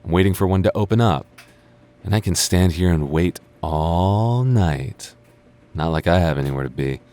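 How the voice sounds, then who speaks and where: Male actor as sarcastic childhood friend, young adult, low-pitched voice, stubborn teasing, natural boyfriend-roleplay delivery. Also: darkish tone. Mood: exasperated. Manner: teasing, sarcastic, stammers when flustered.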